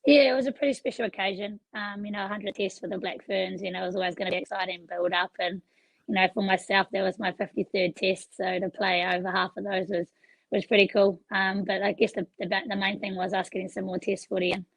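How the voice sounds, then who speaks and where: Woman with a gravelly, worn-out voice. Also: mid-range voice, quick pace, no echo, low-quality recording. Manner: relaxed, easygoing answers with many fillers. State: losing her voice. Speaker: rugby player guest. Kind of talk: casual interview.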